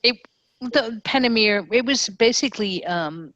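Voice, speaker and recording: A woman in casual chat, speaking in a halting but emphatic way and repeating herself. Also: a little high, a bit bright, no room sound, lo-fi sound.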